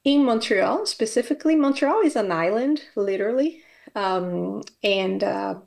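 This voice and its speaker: A woman's voice: medium pitch, animated, unhurried, vocal fry, a little mellow. A woman from Brazil giving a conference presentation as a university academic, speaking with frequent fillers and restarts. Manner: hesitant, many fillers and restarts.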